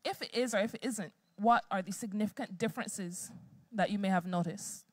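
A woman's voice: mid-range voice, a little fast, darkish tone, no room sound, clean studio sound. Woman moderator reading out a question. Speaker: event moderator. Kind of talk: relaying an audience question.

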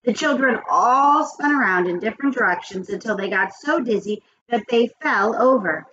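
A woman in her forties, a teacher, reading a children's chapter book aloud in an expressive, animated way at a webcam in a small home office. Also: fairly high pitch, normal speed. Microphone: webcam at desk. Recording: dull lo-fi webcam audio.

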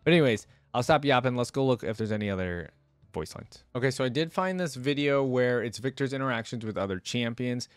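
Host: young male, informal rambling reactor, dry close mic, mid pitch. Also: animated, a little fast, clean tone, no room sound. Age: young adult. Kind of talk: casual reaction commentary. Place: indoor room at a desk. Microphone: close desk microphone, headset worn.

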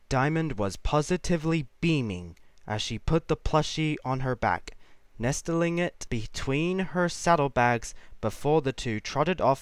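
A man's voice: mid-range voice, fairly bright tone, no room sound. Male story narrator over music.

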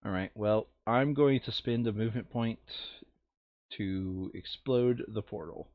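Low-voiced man, dull dry recording. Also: measured pace, no room sound. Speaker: player in a tabletop board game playthrough. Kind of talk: casual gameplay commentary, reading cards aloud. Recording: dull lo-fi close mic.

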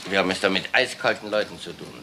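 An actor playing an earnest, harried burglary detective in a crime drama, emphatic and matter-of-fact. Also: male voice, low voice, fast, very noisy. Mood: worried, serious.

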